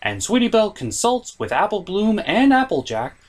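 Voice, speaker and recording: Guy doing a sarcastic comedy review, voice a bit high, really up and down. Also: huge pitch swings, normal speed. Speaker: reviewer, host. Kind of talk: scripted comedic episode review.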